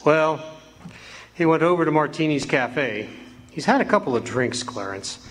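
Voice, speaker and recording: An actor playing a calm, measured senior angel in a live radio play. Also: male voice, fairly high pitch, lightly acted. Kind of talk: live radio drama acting.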